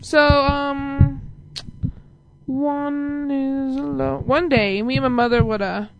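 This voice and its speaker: A woman's voice: high voice, fairly even intonation, takes it slowly, muffled recording, slight background noise. A young woman hosting an online talk stream, rambling through silly stories with lots of giggling. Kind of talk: rambling casual chat.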